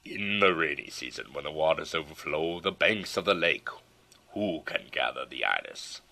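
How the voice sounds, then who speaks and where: A man narrating plays a humble samurai knight and poet, deep-voiced and solemn. He speaks in formal, self-effacing phrases, as in an audiobook tale. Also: lively intonation, moderate pace, vocal fry, bright, forceful tone, heavily acted. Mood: wistful, reciting.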